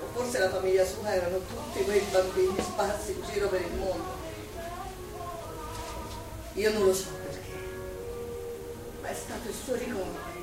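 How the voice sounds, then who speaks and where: An actress playing a warm working-class wartime woman with a Roman accent, in a theatrical stage style. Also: medium pitch, steady tone, normal speed, long pauses, close to an everyday voice. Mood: wistful, tender. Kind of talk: theatrical stage acting, monologue and dialogue. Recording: distant echoey stage recording under loud music.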